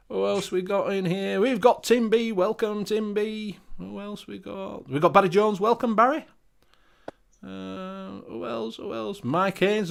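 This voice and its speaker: A man's voice: high-pitched, creaky. A man with a Northern English accent hosting a casual live-stream chat, swearing freely and reading out viewer names. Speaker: show host.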